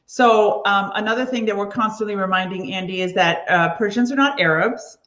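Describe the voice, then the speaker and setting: A woman's voice: mid-range voice, a little fast, fairly bright tone, echoey room. A woman from the United States with an American accent, chatting casually in a wry, self-deprecating way. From United States, grew up in California. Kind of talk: casual chat. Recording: slightly dull lo-fi sound.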